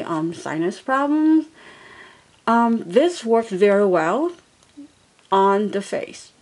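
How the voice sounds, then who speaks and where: A woman's voice: medium pitch, darkish tone, poor audio. Chatty woman reviewing a gadget. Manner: chatty, rambling, many fillers and restarts. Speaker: product reviewer. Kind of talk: casual product review.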